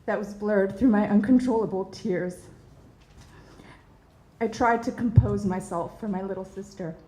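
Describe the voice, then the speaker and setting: A woman in her thirties from Bosnia and Herzegovina, telling a personal story in an earnest, measured way with soft hesitations. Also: mid-range voice, reverberant, low-quality recording. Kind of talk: personal story told to an audience.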